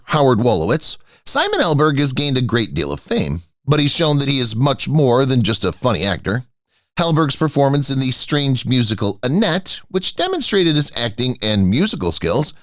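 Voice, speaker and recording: A man narrating a scripted entertainment rundown with a swooping, highly animated pitch. His voice sits at a middling pitch and sounds dry and close, with no room around it. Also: no room sound. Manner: announces each name as a raised heading.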